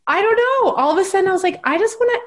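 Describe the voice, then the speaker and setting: A woman's voice: very high-pitched, lively intonation, racing. A woman hosting a podcast interview, chatting casually, laughing often and using plenty of fillers. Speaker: podcast host.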